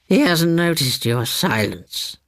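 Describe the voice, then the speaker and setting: A man voicing a sour, peevish, half-blind old aunt with a croaking voice, in a ghost story reading. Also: a little high, very animated, takes it slowly, fairly bright tone, no room sound, clean studio sound, pitched above the performer's own voice, exaggerated voice. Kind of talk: dramatised audiobook ghost story.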